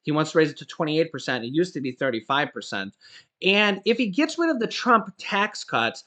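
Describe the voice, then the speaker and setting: A man's voice: fairly high pitch, sing-song, normal speed, sharp tone. A man in his forties giving a casual, emphatic commentary on finance news, often stopping to ask "okay?" and correcting himself as he goes. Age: 40s. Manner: emphatic, repeats "okay?" to drive points home; frequent restarts and self-corrections. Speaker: finance YouTuber.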